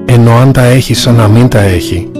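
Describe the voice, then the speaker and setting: A man playing a gentle, fatherly old monk abbot in a cartoon, speaking in a measured, patient way over guitar music. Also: medium pitch, natural voice. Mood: calm, fatherly. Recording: prominent guitar music behind. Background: plucked guitar music.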